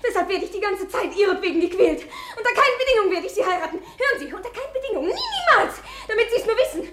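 A woman's voice: sing-song, very fast, fairly bright tone, echoey room, heavily acted. An actress playing a proud, temperamental young noblewoman who talks in a mocking, imperious way, in 1950s radio play style. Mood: hysterical. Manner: high-strung, mocking, imperious.

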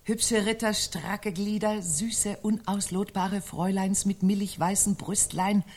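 An actress playing a knight's dreamy, romance-craving wife in a wry radio play, with florid, mannered phrasing. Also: female voice, mid-range voice, fairly even intonation, slow, darkish tone. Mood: wistful.

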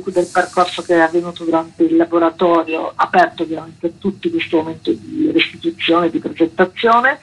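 A woman from a theatre company, talking through a program of events in a radio interview, detailed and steady with small fillers. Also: fairly low pitch, slightly rough, highs cut off.

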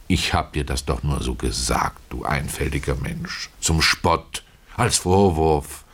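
Deep-voiced humble old-world tailor. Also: male voice. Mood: reproachful. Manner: folksy, confiding, ruminative. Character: humble poor Russian tailor, plain-spoken, pious, retelling his regrets. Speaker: narrator of a literary radio play. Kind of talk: dramatic first-person storytelling.

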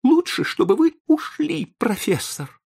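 Male reader as distraught young man, high strained pitch, swooping intonation, agitated pleading, dramatic storybook reading. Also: big pitch swings, measured pace, gravelly, darkish tone, husky, heavily acted. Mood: agitated, insistent. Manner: pleading, exclamatory outbursts.

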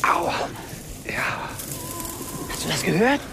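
An anxious young male sidekick in a radio crime drama. He is slangy and incredulous, with a fairly high, cracking, hugely animated voice in noisy outdoor surroundings. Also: slow, vocal fry, heavily acted. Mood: startled, incredulous. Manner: slangy, jumpy.

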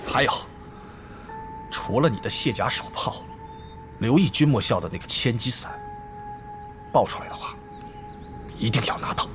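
An actor playing a scheming, hot-tempered guild leader in a Chinese audio drama about an online game, argumentative and calculating. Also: male voice, medium pitch, expressive, moderate pace, pauses often, music in the background, close to an everyday voice. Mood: brisk, calculating.

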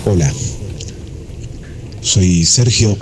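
A man, talking in a brisk, cheerful way. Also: low-pitched, noisy background. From Argentina.

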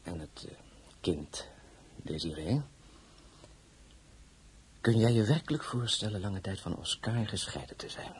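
An actor playing a weary, authoritative crown prince in a radio drama, speaking in a grave, formal and declamatory way. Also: male voice, low-pitched, expressive, measured pace, pauses often. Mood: weary, pleading. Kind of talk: dramatized novel, radio play acting. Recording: clearly noisy recording.

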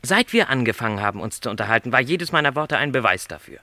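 A man's voice: mid-range voice, animated, fast talker, pushed and bright, dry recording. An actor playing a lonely middle-aged travelling salesman in a literary radio play, speaking with courteous, reflective resignation. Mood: resigned.

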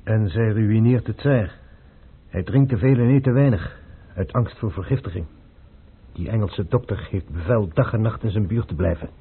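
An actor playing a dry, sardonic Russian officer and conspirator in a Dutch radio play. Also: male voice, fairly low pitch, moderate pace, slightly rough. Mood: dry, disdainful. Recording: muffled, band-limited old radio recording.

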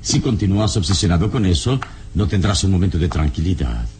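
A wise, fatherly adventure hero with a very deep voice that moves expressively. He speaks in a calm, didactic way in old radio serial style. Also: faint music behind. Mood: calm, reassuring. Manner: measured, didactic.

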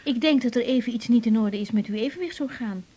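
An actress playing a caring young female doctor in a radio drama, speaking haltingly and naturally, often repeating words. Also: fairly high pitch, warm tone, natural voice. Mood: calm, professional.

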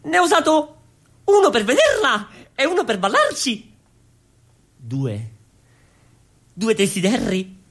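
A man's voice: extremely high pitch, very animated, slow, pauses often, a bit bright, exaggerated voice. A man playing a hapless, earnest, clownish revolutionary in theatrical comic radio storytelling, exclamatory and repetitive. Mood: dismayed, pleading. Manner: exclamatory, repetitive, pleading with the listeners. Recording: music under the voice.

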